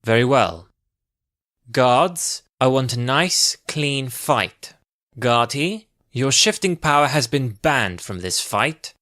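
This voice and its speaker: A woman playing a stern, measured alien official in an audio drama, formal and deliberate. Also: a bass voice, expressive, fairly bright tone, dry recording, studio quality, heavily acted.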